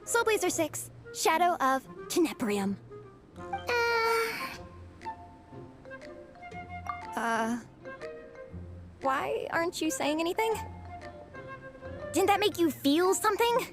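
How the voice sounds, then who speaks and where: A woman voicing an earnest, excitable young female comic fan. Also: very high voice, unhurried, pauses often, full character voice. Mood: eager. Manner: eager, earnest. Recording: game cutscene with background music.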